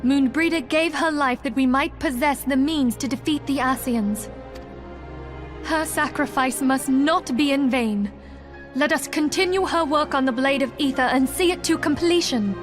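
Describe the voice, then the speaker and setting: A woman playing a gentle, resolute noble leader in a video game, speaking in a composed, earnest and formal manner. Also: sits high, normal speed, music in the background, natural voice. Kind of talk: scripted video game drama acting.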